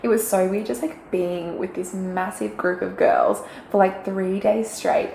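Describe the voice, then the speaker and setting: A young woman with a croaky, gravelly voice talks chattily, filling her sentences with little fillers and giggles. The room around her is echoey, with a faint hiss of background noise. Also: mid-range voice, fairly even intonation, unhurried, dark tone. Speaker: podcast co-host. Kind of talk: casual chat. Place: quiet room, shared mic.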